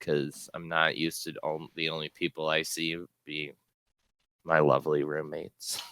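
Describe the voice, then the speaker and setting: A young man co-hosting a podcast in casual chat, with halting, hesitant phrasing. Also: extremely low pitch, completely flat delivery, fairly bright tone, no echo. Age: young adult. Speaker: podcast co-host.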